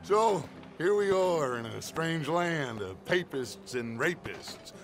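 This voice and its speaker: An actor playing a wry older gentleman outlaw who speaks in a dry, theatrical way. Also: fairly high pitch, lively intonation, slow pace.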